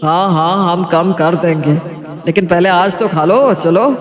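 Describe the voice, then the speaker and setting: A man's voice: high voice, a little slow. A man playing a stubborn, melodramatic family man who loves sweets in a story drama. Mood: dismissive, breezy. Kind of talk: hammy family story dialogue. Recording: echoey, reverberant hall sound.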